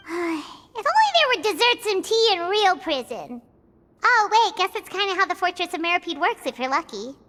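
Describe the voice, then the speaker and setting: Game role: tiny whiny fairy companion girl. Extremely high, squeaky, echoey. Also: normal speed, exaggerated voice. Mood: wistful. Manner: squeaky, expressive. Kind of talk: scripted game voice acting. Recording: echoey reverb, clear music behind.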